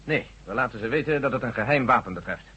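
An actor playing a curt, dutiful army general in a radio drama, speaking in a clipped, authoritative and husky voice. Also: male voice, medium pitch, expressive, fast talker, pushed and bright, full character voice. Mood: matter-of-fact. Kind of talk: Dutch radio drama acting. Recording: dull, lo-fi old radio recording.

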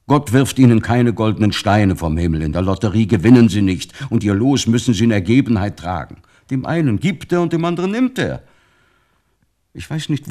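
Low, expressive, lamenting poor father. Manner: lamenting, fatalistic. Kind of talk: German radio play acting.

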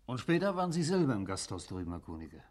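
Probing magistrate, lively intonation. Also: male voice, medium pitch. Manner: inquisitive, brisk, formal. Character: probing, methodical magistrate questioning a witness. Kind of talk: German radio drama acting.

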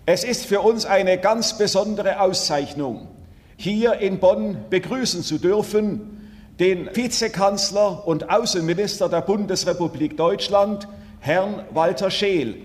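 A man speaks in a notably high voice, very slowly and solemnly. He delivers a formal, declamatory welcome speech, and a long hall reverb follows his words. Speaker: representative of war-blinded veterans giving a welcome speech. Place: large hall at an award ceremony. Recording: echoey hall, archival public-address speech.

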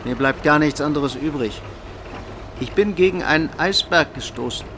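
A man's voice: on the high side, moderate pace, background noise, natural voice. An actor playing a weary, resolute middle-aged man in a German radio drama. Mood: dry, resigned.

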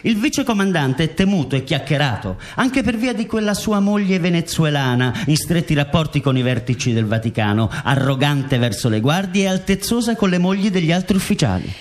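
A man's voice: a little high, lively intonation, brisk, hardly any pauses. A man reading scripted narration as a narrator in a documentary radio drama.

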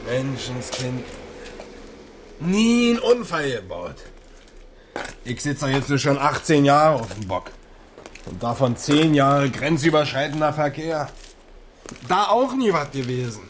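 An actor playing a shaken middle-aged long-haul trucker in a radio crime drama, speaking colloquial with a tinge, agitated and exclamatory. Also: male voice, medium pitch, sing-song, slow pace, pauses often. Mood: shaken, brooding. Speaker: truck driver. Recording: noisy 1980s radio play recording.

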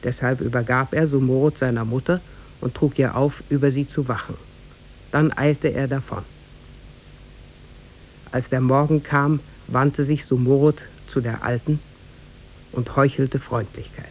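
A man narrating a tale in a formal, measured 1950s radio-drama style with literary diction. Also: medium pitch, fairly even intonation, poor audio.

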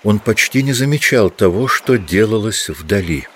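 A man with a deep, low voice narrates a literary audio drama. His delivery is measured and scripted, that of a storyteller setting out each scene. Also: expressive, a bit bright, a little hiss or hum.

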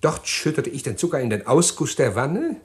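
An actor playing a scheming, courteous husband in a radio crime drama, speaking in a formal way with polite hesitations. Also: male voice, mid-range voice, very animated, moderate pace, natural voice. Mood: measured, confessing. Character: smooth, scheming husband confessing a murder plot, outwardly courteous.

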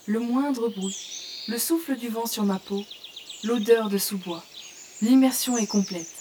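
A woman's voice: a little high, dark tone, a little hiss or hum, close to an everyday voice. Contemplative woman adventurer, immersed and observant, played by an actress. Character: female novice archer in virtual world, awestruck, observant. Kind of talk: French audio fiction, descriptive first-person narration. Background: forest ambience with birds and insects.

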